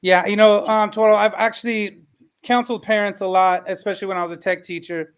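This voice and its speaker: Guy hosting a panel chat, pretty high voice for a man, conversational. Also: steady tone, fairly quick, clean tone. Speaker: panel moderator, former teacher. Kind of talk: moderated panel discussion, conversational.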